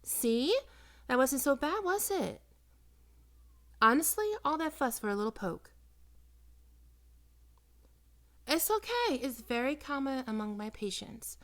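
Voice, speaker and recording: A woman acting in an ASMR roleplay as a doting, possessive vampire posing as a small-town doctor, coaxing and teasing, often dropping into breathy whispers. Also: high-pitched, very animated, moderate pace, fairly bright tone. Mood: fond, playful. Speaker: doctor character in audio roleplay.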